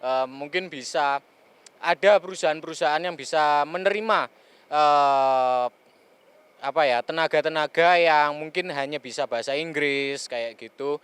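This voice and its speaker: A man from Surabaya who works in logistics, answering a street interview in a polite, measured way with some fillers. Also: mid-range voice, fairly bright tone, smooth. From Indonesia, Surabaya. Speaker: logistics worker.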